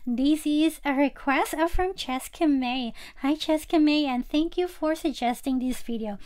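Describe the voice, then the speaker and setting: High-pitched woman hosting a game tour. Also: measured pace, darkish tone. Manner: chatty, addresses viewers directly. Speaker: gaming channel host. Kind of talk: casual game tour voice-over.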